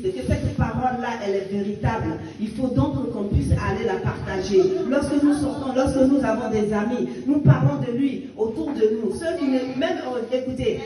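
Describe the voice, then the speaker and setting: A woman in her fifties giving a fervent testimony and exhortation in church, with emphatic rising bursts. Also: fairly high pitch. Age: 50s. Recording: echoey church hall picked up from a distance.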